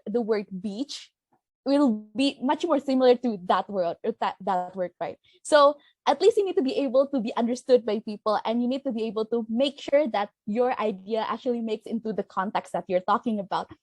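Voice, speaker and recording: A woman's voice: fairly high pitch, brisk, darkish tone, no room sound. A woman from Indonesia, earnestly explaining her reasoning step by step as a panelist. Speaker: discussion panelist. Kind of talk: debate-style discussion.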